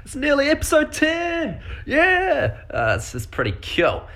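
A man's voice: very high voice, huge pitch swings, a little slow, pushed and bright. A young man with a New Zealand accent hosting his own podcast, talking casually and enthusiastically, rambling with many fillers and restarts. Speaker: podcast host. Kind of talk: casual solo podcast talk.

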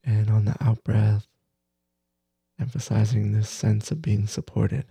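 A man leading a guided meditation as teacher, gentle and soothing, with soft breathy phrases that trail off between long pauses. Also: fairly low pitch, flat delivery, no room sound. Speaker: meditation teacher, podcast host.

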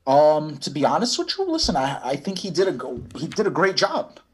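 A man giving casual interview answers, halting and often restarting his phrases. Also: on the high side, huge pitch swings, husky. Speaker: cannabis brand owner, podcast guest.

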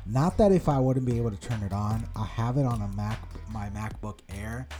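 Rambling American man, mellow tone. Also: on the low side, normal speed, soft background music. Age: 30s. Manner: rambling, animated storytelling with many restarts. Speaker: podcast co-host. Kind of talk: casual chat.

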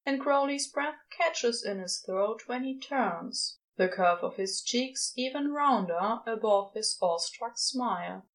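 A woman's voice: a little high, low-quality recording. A woman reading a story aloud as a scripted fiction narrator. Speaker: podfic narrator.

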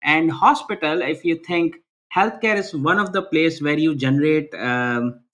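A man's voice: fairly high pitch, a little slow, sharp tone. A man, answering interview questions earnestly over a video call, restarting phrases often and ending points with "right?". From Pakistan. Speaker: guest.

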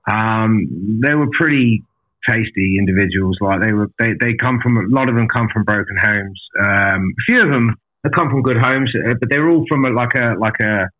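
A man's voice: fairly low pitch, moderate pace, narrow, tinny audio. A man telling his story as a podcast guest in a casual, candid, blunt way, with lots of swearing and matey address. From an island outside Australia.

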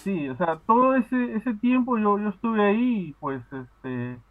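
A man's voice: sits high, lively intonation, a little slow. A man from Peru calling into a podcast, chatting casually with many fillers and restarts. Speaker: podcast caller. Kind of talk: casual chat. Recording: tinny phone line.

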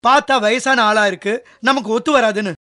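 An actor playing a busy husband in a radio drama, grumbling and complaining in an irritated way. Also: male voice, very high voice, a little fast, sharp tone, no echo, clean studio sound.

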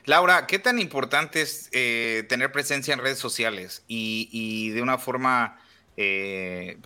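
Very bright, forceful-voiced man interviewing. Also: medium pitch, takes it slowly, clean tone. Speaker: podcast host, interviewer. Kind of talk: casual conversational interview.

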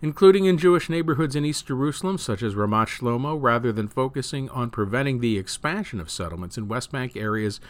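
A man's voice: mid-range voice, expressive, brisk, few pauses, dry recording. Man reading news articles in a dry recording. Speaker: news reader and show host.

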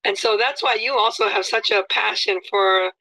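A woman's voice: mid-range voice, normal speed, pushed and bright, poor audio. A woman hosting an interview, effusive and complimentary, with many fillers. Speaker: interview host. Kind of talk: friendly interview chat.

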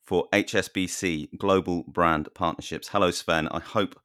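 A man with a deep voice hosts an interview, greeting his guests and asking questions in a friendly, chatty way. The sound is dry and close. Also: steady tone, normal speed, fairly bright tone, clean tone, dry recording. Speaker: interview host. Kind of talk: podcast interview hosting.

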